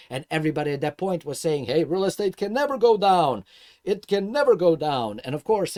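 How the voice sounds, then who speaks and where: A middle-aged man with a Serbian accent, telling personal stories as an informal course instructor in an emphatic, animated way, with rhetorical questions. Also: mid-range voice. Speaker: host and instructor of an investing course. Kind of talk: informal personal-story lecture to viewers.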